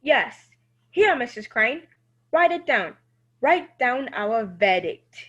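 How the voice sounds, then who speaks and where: An actress as a high, swooping lady juror. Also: very animated, slow pace, fairly bright tone, husky, highs cut off, heavily acted. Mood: agreeing, firm. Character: earnest, opinionated lady juror. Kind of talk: radio play acting.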